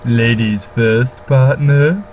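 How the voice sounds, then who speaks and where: A performer voicing a polite, smugly charming vampire teenage boy with slow, drawn-out delivery in a mock dramatic novel reading. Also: medium pitch, a little mellow, slight background noise, lightly acted. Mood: suave, polite.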